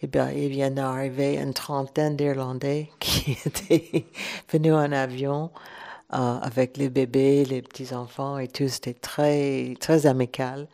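A woman's voice: extremely low pitch, little pitch movement, unhurried, gravelly, warm tone. A woman from Ireland, speaking French as an as a radio guest, hesitant and searching for words. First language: English. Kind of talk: relaxed radio chat.

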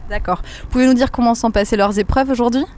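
A woman reporting live from a horse show and interviewing guests with brisk questions. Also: a little high, steady tone, a little mellow. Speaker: field reporter.

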